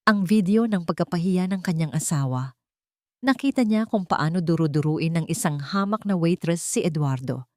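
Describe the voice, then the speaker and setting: Female storyteller for scripted melodrama, somewhat low voice, very dry studio-like sound. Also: darkish tone, no room sound. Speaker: story narrator. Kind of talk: scripted dramatic narration.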